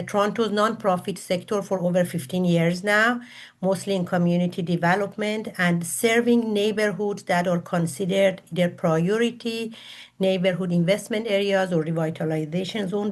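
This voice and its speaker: A middle-aged woman from Iran with an Iranian accent, speaking earnestly and formally as a panelist on a video call. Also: medium pitch. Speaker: panelist, women's empowerment coach. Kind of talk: prepared self-introduction at a virtual panel. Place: virtual summit video call.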